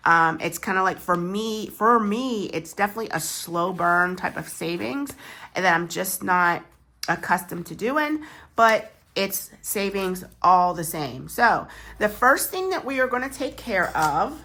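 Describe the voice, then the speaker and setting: An American woman talks to her camera in a loose, rambling way, laughing at her own forgetfulness. Her voice sounds stuffy and congested from a chest cold, but its tone stays bright and forward. She sounds close to the phone mic in a casual home vlog. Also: medium pitch, animated, a little slow. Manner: chatty, rambling, self-deprecating asides. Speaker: savings challenge vlogger. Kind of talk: casual chat to camera while cash stuffing.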